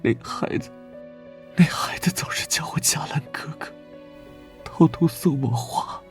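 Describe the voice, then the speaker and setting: A man playing a gentle, burdened young knight vice-captain in an animated drama, speaking in a soft, subdued way. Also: on the high side, measured pace, whispered, natural voice. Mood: anguished. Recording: loud music under the voice.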